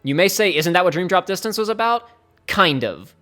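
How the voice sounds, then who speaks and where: A young man with a fairly high-pitched voice, talking to his viewers in a rambling, candid way during a live stream. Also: big pitch swings, brisk, pushed and bright, soft background music. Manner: rambling, candid, speeds up and trails off. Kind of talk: casual live-stream talk to chat.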